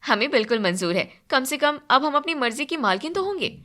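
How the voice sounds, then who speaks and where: Woman acting bright, indignant young daughter-in-law. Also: high-pitched, big pitch swings, a little fast, heavily acted. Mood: defiant. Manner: complaining, demanding.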